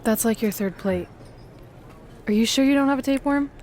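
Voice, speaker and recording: A woman plays a sensible young female wrestler in a game cutscene. Her voice is a little high, with faint noise behind it. She needles like a protective, wry best friend. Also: fairly quick, darkish tone, close to an everyday voice. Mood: teasing. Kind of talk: video game cutscene acting.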